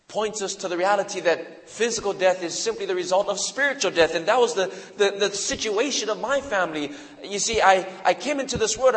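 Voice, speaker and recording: A man in his thirties from Hawaii preaching a sermon with personal testimony, slow and dramatic, often dropping low and quiet for emphasis. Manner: slow, dramatic pauses with deep drops for emphasis. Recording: long reverb of a large hall.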